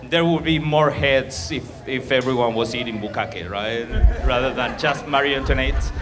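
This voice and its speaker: A man's voice: mid-range voice, normal speed. A man with an Argentinian accent doing a crude, rambling, self-mocking stand-up set in a club. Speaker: stand-up comedian. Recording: live club with loud crowd.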